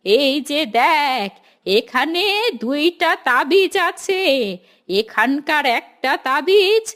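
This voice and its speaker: A woman's voice: sits high, expressive, slow pace, a bit bright, exaggerated voice. A woman voicing a scheming, greedy old village witch in a cartoon, with a cackling, conspiratorial delivery. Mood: coaxing, sly. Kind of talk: cartoon voice acting.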